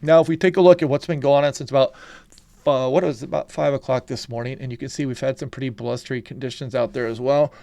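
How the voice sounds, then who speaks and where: A congested man talks his way through forecast maps in an easygoing, conversational manner. His voice has a gravelly, creaky edge, and the recording is dry and close. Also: mid-range voice, fast talker, no echo. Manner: relaxed, conversational, frequent "you know" and "kinda". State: congested from a head cold. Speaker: weather channel host. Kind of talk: casual forecast walkthrough over weather maps.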